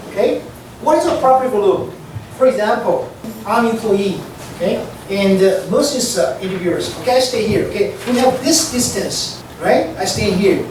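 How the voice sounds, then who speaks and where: An aviation instructor with a mother tongue, lecturing a class in loose, repetitive full of "okay" and "you know". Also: medium pitch. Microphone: distant room mic. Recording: echoey classroom from far off, noisy.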